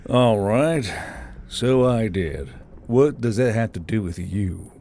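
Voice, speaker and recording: Man doing a gruff, irritable soldier. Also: fairly low pitch, slow pace, slight background noise. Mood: defiant, growling. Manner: growling, muttering, flat. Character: gruff, irritable male soldier, stubborn and sardonic. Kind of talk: fan audiobook character acting. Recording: background music under the voice.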